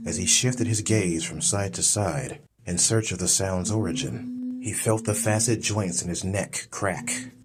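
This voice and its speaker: A man's voice: deep voice, measured pace. A man reading scripted dramatic story narration as an audiobook narrator. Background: music.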